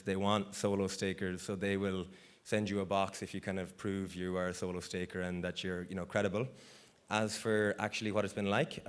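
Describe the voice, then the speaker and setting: A man with a fairly low voice gives a conference talk over a stage microphone. He keeps it relaxed and conversational, leaning on fillers as he works through audience questions. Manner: casual, loose, full of fillers like "you know" and "like". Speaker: conference speaker. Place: large conference hall stage.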